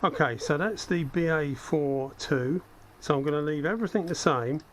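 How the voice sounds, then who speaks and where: A man with a slightly croaky, cold-affected voice giving a casual hands-on demonstration, thinking aloud as he works. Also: a little high, moderate pace. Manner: conversational, self-deprecating asides, thinking aloud while working. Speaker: hobbyist host demonstrating spray gun equipment. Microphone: head-mounted action camera. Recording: steady background noise from workspace.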